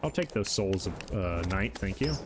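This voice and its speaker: A man's voice: low-pitched, expressive, normal speed, over music. A man in his thirties with a light voice, chatting casually while streaming a game and rambling through answers to his chat.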